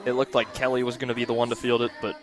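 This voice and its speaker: A man giving conversational color commentary on a softball game, explaining plays and now and then repeating his words. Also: medium pitch, flat delivery, racing, music in the background, rough recording. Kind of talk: analytical sports commentary.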